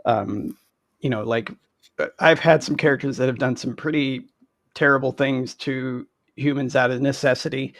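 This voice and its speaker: A man chatting casually as an interview guest, phrasing his thoughts in a halting, deliberate way. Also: mid-range voice, steady tone, vocal fry, a bit bright, no room sound, clean studio sound. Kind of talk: casual anecdotal chat.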